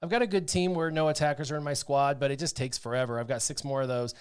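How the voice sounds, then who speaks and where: Man, livestream gamer, casual chat, excitable, lots of fillers, mid-pitched. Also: quick pace, clear, resonant tone. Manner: chatty, excitable, full of fillers like "um" and "like". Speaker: streamer playing a mobile game.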